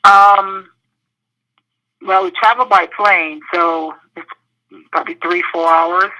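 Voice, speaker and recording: A woman from the British Virgin Islands answering interview questions thoughtfully, pausing often with fillers. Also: fairly low pitch, fairly even intonation, pushed and bright, rough recording. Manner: thoughtful, with many pauses and fillers.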